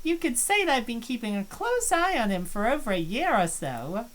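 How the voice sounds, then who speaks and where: A woman's voice: on the high side, very animated, moderate pace. A woman voicing a shy young college student who is obsessed with a boy, reading in an earnest, confessional way. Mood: confiding. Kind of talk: visual novel voice acting.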